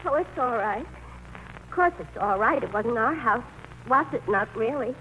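An actress playing a sweet, chirpy, self-effacing sweetheart who is earnest and accommodating, in a 1940s radio play on a noisy old broadcast. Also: female voice, a little high, animated, moderate pace, fairly bright tone. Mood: hurt, brave.

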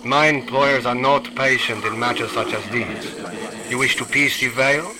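A man playing a sinister, hushed dealer. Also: mid-range voice, steady tone, background noise, natural voice. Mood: cold, menacing. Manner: measured, clipped, often hushed and menacing. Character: sinister smooth male dealer, cold, secretive. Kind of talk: radio play acting.

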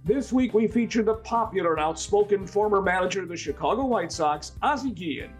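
A man's voice: sits high, expressive, fairly quick. A male podcast host reading a scripted, polished introduction. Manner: polished announcer read. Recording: faint music bed under voice. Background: faint music.

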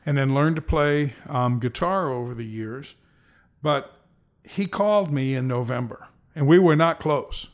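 A man's voice: mid-range voice, unhurried. A man in his sixties, an interview guest telling rambling, self-correcting anecdotes about his childhood and career. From American Southwest. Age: 60s. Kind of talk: reflective storytelling.

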